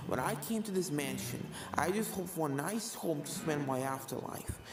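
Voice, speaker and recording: A performer voicing a sinister female ghost mare who speaks softly and slowly with calm menace in a fan fiction reading. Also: mid-range voice, echoey room, close to an everyday voice, poor audio. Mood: resigned menace. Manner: hushed, slow, calmly menacing. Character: soft-spoken sinister female ghost mare, former serial killer.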